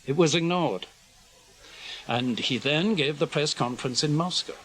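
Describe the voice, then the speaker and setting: Guarded British spymaster, slightly high-pitched. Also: male voice, fairly bright tone, rough recording. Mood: measured recounting. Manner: measured, clipped, explanatory delivery in short phrases. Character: senior male British intelligence officer, guarded, weary, conscience-burdened spymaster. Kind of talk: radio drama dialogue.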